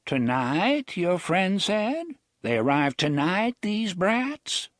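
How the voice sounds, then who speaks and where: A man's voice: very animated, takes it slowly, a bit bright, no echo, clean studio sound, full character voice. A man doing a quavery, sly old gunslinger. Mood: mocking. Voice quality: quavery, high and shrill. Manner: drawling, sardonic, teasing. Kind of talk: audiobook character voice.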